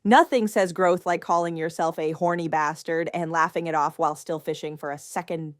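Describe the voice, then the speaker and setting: A woman's voice: low voice, steady tone, a little fast, no room sound, studio quality. A woman narrating and commenting on a text exchange in a dramatic scripted style, with sarcastic, mocking asides.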